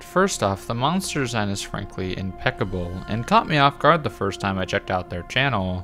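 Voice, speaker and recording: A man's voice: fairly low pitch, pushed and bright. A man giving opinionated, scripted video-essay commentary, stressing points by repeating words emphatically. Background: clear background music.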